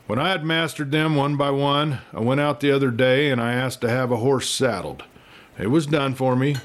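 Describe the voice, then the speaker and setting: A man's voice: medium pitch, close to an everyday voice. A man voicing a pompous but self-mocking young scholar in a dramatic storybook reading, with theatrical, bookish phrasing and folksy slips. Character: young male scholar, pompous yet self-mocking, newly exuberant, writing home.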